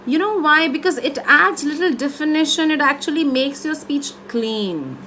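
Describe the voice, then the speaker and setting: A young woman coaches a student in public speaking over a video call. Her voice is high and moves up and down in an animated way. There is faint noise in the recording, which comes through a laptop speaker. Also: moderate pace. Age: young adult. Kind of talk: one-to-one online coaching. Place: online video call, indoors. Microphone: video call replayed from laptop, re-recorded.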